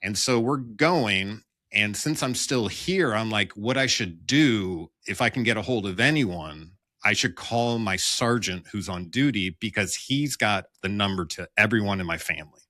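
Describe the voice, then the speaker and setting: Clear, low-voiced American man telling a story. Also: expressive, moderate pace, bright, forceful tone, no echo, studio quality. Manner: candid, wry, acts out dialogue in his account. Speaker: police officer telling his own story as podcast guest. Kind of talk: personal storytelling, conversational.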